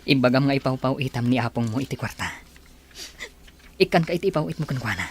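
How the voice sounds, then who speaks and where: A man's voice: mid-range voice, animated, quick pace, slight background noise, natural voice. An actor playing an insistent, secretive young male lover, speaking earnestly in a melodramatic radio drama. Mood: insistent, soothing. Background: dramatic background music.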